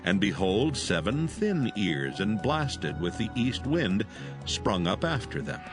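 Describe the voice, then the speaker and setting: A man's voice: fairly low pitch, very animated, slow pace. A man narrating scripture as a dramatized reading, in a solemn and formal manner. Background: soothing background music.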